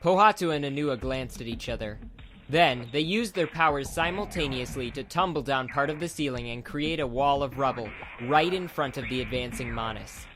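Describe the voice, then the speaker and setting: A man's voice: mid-range voice, moderate pace, noisy background. A man narrating a dramatized fantasy audiobook as a scripted storyteller.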